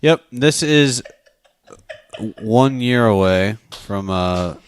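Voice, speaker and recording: A man's voice: on the low side, animated, extremely slow, noisy background. A man hosting a casual movie review podcast, talking in a teasing, profane way. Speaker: podcast host.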